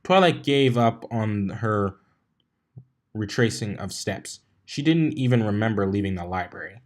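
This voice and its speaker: A man's voice: on the low side, lively intonation, a little slow. A man narrating a fan fiction story aloud in an informal way, stumbling over and rereading lines now and then.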